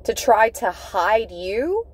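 A woman giving an intuitive tarot reading, speaking in deliberate, halting phrases with emphatic repetition. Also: medium pitch, very animated, husky. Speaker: tarot reader. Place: inside a parked car.

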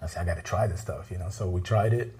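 A middle-aged man from the Bronx, telling stories as a guest in a TV studio interview, relaxed and laughing often. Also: low-pitched, fast. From the Bronx, New York. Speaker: restaurant owner, guest. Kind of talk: casual interview chat.

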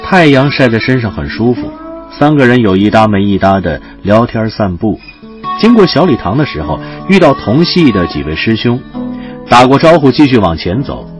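A man narrating a campus romance audio drama as a gentle storyteller, his phrases trailing off softly. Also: medium pitch, very animated, normal speed. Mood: tender, dramatic. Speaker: narrator and actor. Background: music.

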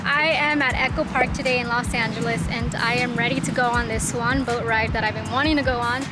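A woman's voice: fairly high pitch, fairly even intonation, fairly quick. A young woman chatting casually to a handheld camera at a park lake, upbeat and laughing often. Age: young adult. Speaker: vlogger. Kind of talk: casual travel vlog. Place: outdoors at a park lake, on a pedal boat. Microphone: handheld camera at arm's length. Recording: music playing loudly behind.

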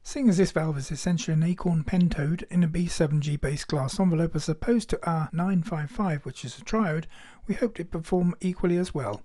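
A man giving a measured, instructional scripted technical narration as a presenter. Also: fairly high pitch, dark tone. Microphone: voice-over.

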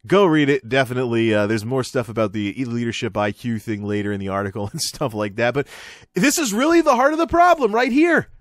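A man's voice: mid-range voice, animated, fairly quick, no echo, studio quality. A man giving an informal, opinionated radio-style monologue in an exasperated, emphatic, sardonic manner. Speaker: commentator, host.

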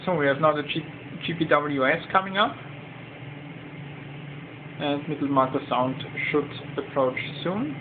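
A man giving an informal demonstration, speaking haltingly with pauses mid-sentence, recorded with a tinny, narrow sound. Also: fairly high pitch, lively intonation. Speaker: demonstrator of a home-built flight simulator. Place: indoor home cockpit simulator room. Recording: tinny narrow-band phone-like sound, noisy background.

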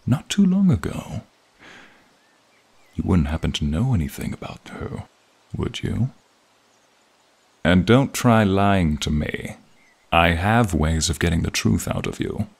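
A man voicing an alluring, menacing archmage who smugly toys with a captive in an ASMR fantasy roleplay, husky and breathy, with teasing murmurs. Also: low voice, heavily acted. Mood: suspicious, smug. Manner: slow, teasing, smug, drawn-out murmurs.

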